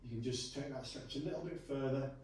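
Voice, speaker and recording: Casual trainer in an echoey gym. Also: male voice, mid-range voice, quick pace, slight background noise. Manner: relaxed, reassuring instructions with frequent fillers. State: going hoarse. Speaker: personal trainer. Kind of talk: coaching a client through stretches. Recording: echoey room from a distance.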